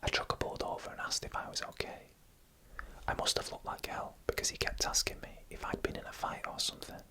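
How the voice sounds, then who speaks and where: ASMR horror storyteller: fully whispered, bright sibilant breath, hushed deliberate delivery, close-miked for headphones. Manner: hushed, deliberate, short phrases with pauses. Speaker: ASMR narrator. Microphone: close microphone made for headphone listening.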